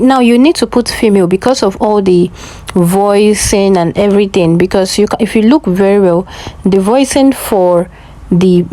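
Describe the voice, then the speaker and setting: A woman's voice: mid-range voice, warm tone. A woman presenting a casual step-by-step tutorial, instructing and often checking that the listener follows.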